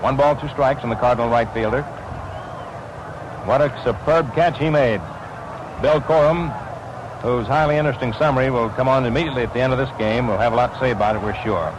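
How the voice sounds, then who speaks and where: An American man calling baseball play-by-play in 1940s radio style, describing the action in crisp phrases. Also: medium pitch, a little fast. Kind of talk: 1940s radio sports commentary. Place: ballpark broadcast position. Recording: noisy vintage 1940s radio broadcast.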